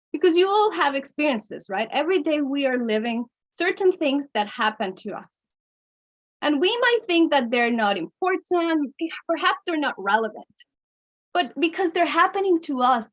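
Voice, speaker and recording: A woman from Mexico with a Mexican accent, a coach giving an expressive webinar presentation that she breaks up with small chuckles. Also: high voice, rough recording. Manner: expressive, chuckles between points, speeds up and slows down. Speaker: keynote speaker and coach.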